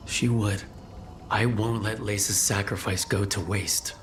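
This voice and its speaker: An actor playing an earnest, heroic leader in a fantasy game, speaking in a measured, solemn, resolute way. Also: male voice, fairly low pitch, steady tone. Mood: sombre resolve. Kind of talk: dramatic video game acting. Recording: music behind.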